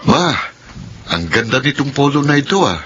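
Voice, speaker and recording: A man's voice: mid-range voice, very animated, normal speed, loud music behind the voice, close to an everyday voice. A man playing a young husband and father in a radio drama, teasing and patient. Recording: dull lo-fi radio recording.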